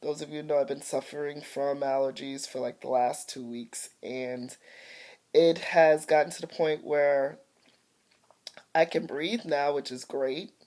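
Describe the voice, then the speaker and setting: Middle-aged woman with a low, warm voice and some chest congestion; casual, grateful vlog update. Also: steady tone, unhurried. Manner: chatty, grateful, slightly congested. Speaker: artist and vlogger. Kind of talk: casual home video update. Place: at home by a window. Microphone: phone or webcam camera mic.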